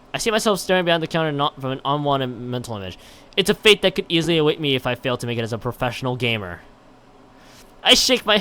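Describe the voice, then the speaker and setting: Bright-voiced man streaming, wildly animated pitch. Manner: stumbling over read text, repeating and restarting words. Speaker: live game streamer. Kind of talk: reading a visual novel aloud and chatting on a livestream.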